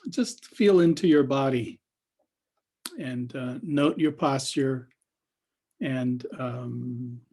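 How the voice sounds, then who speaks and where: A man with a husky voice leading an unscripted guided meditation as a teacher, speaking haltingly with long pauses and trailing phrases. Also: mid-range voice, warm tone.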